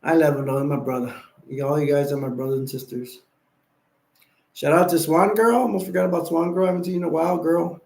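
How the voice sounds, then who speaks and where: A tired, sleepy man hosting a casual late-night live stream, rambling and giving viewers shout-outs. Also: fairly high pitch, fairly even intonation, fast, gravelly. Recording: echoey room.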